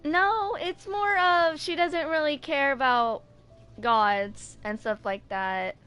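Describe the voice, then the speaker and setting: A woman in her twenties reading game lore aloud and commenting casually, informal and somewhat halting as she goes. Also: sits high, a bit bright, soft background music. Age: 20s. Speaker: gaming video creator.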